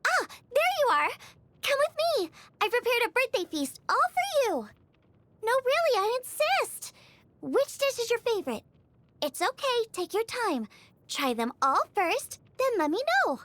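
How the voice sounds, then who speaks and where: A woman voicing a bubbly, eager teenage girl chef in scripted video game lines, perky, earnest and expressive. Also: very high voice, a little slow, no room sound, exaggerated voice. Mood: warm, insistent.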